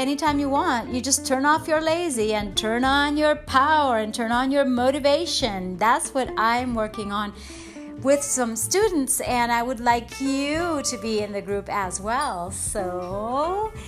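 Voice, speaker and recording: A woman's voice: high-pitched, a bit bright. Bubbly woman. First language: Spanish. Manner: upbeat, bubbly, encouraging, with frequent laughs. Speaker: podcast host and fitness coach. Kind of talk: enthusiastic motivational podcast talk.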